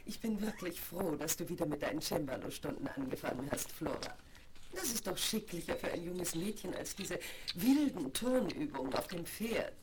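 A woman's voice: lowish voice, expressive, moderate pace, lightly acted. An actress playing a prim, proper middle-aged upper-class mother in a seventies radio play on vinyl. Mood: prim approval. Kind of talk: 1970s German radio play acting. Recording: crackly 1970s vinyl record.

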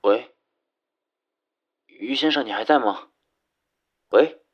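Man acting a gentle, husky-voiced young courier. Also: mid-range voice, takes it slowly, muffled recording. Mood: apologetic, courteous. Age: young adult. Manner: soft-spoken, courteous. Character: gentle, polite, teasing young male courier. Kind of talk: Chinese audio drama acting. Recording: music under the voice. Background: music.

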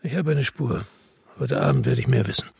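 A man's voice: medium pitch, a little fast, vocal fry, darkish tone, breathy edge, no echo, clean studio sound, heavily acted. An actor playing a middle-aged, weary and bitter ex-policeman, narrating tersely in a radio drama. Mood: tense. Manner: terse, sombre, matter-of-fact. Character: weary, bitter male ex-policeman narrating his own crime story.

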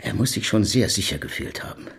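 An actor playing a bitter, accusing brother in a radio drama, formal, scornful and insistent. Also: male voice, lowish voice, expressive, a little fast, gravelly, fairly bright tone. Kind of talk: German radio play acting.

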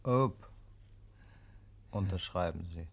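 An actor playing a stern police inspector in a 1950s German radio drama, questioning tersely and giving orders. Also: male voice, sits low, slow pace, vocal fry, husky, heavily acted. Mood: commanding. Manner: terse, commanding, prompting.

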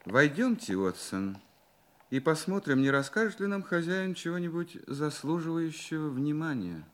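An actor playing a confident, courteous detective in a Russian radio drama, questioning politely and deliberately. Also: male voice, on the high side, very animated, a little hiss or hum. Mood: assured, brisk. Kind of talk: Russian radio play acting.

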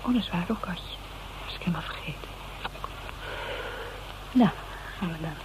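An actress playing a shaken, grieving secretary in a radio drama, speaking colloquially in halting, broken sentences. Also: female voice, lowish voice, in a whisper, close to an everyday voice. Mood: rattled, subdued. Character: shaken, grieving female secretary holding back tears. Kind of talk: naturalistic radio drama dialogue. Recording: dull, noisy radio drama recording.

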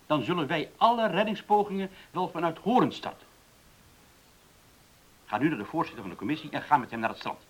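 Commanding, husky village mayor. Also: male voice, a little high, animated, brisk, long pauses, poor audio. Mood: stern, commanding. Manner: authoritative, formal, emphatic. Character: commanding village mayor giving brisk orders. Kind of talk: radio drama acting.

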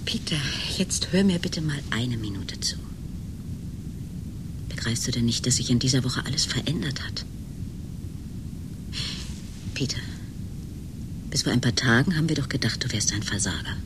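An actress playing a worried, intense woman in a German radio drama, pleading emphatically and often sinking into breathy whispers. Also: rumbling low, lively intonation, normal speed, long pauses. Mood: hushed pleading. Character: worried, urgently pleading woman, partner of chess player. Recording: noisy 1980s radio drama recording.

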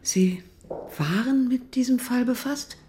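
An actress playing a gravely ill East German woman in a radio drama, grieving, hesitant and guarded. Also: fairly high pitch, measured pace, dark tone, slight background noise, natural voice. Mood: guarded, wary.

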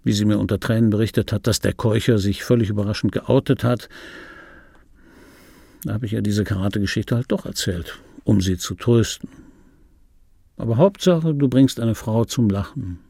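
An actor playing a wry, world-weary middle-aged film dubbing actor, reminiscing in a dry, laconic, intimate radio play monologue with a gravelly, husky voice. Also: male voice, on the low side, moderate pace, long pauses, warm tone, no room sound. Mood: wry, resigned, then dry, ironic. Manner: dry, laconic, musing aloud. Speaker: radio play actor, first-person narrator.

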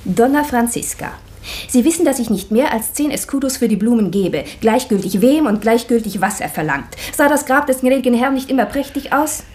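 An actress playing a blunt, sharp-tongued maid in a German radio play from the 1960s, dry, matter-of-fact and gently mocking. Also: female voice, on the high side, animated, fairly quick, natural voice. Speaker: Emilia, the maid.

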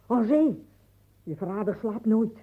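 An actress playing an insistent, accusing wife in a radio play, speaking in an urgent, repetitive way. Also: female voice, a little high, expressive, unhurried, breathy edge. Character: insistent, accusing wife waiting obsessively for news. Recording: muffled old radio play recording.

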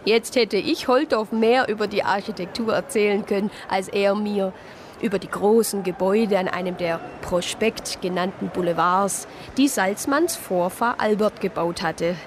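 Eine Schauspielerin spielt eine Diakonisse, die als Ich-Erzählerin in einem Hörspiel von ihren Erlebnissen berichtet. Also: female voice, medium pitch, normal speed, slight background noise, lightly acted. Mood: calm recounting. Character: anxious deaconess recounting her adventures abroad. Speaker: first-person narrator. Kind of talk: radio play narration and dialogue.

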